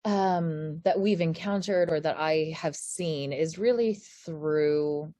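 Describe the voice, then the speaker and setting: Low-voiced woman, dry and close. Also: slow pace, mellow, dry recording. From Philippines. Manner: candid, searching for words. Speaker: workshop panelist. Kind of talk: conversational panel talk. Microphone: video call.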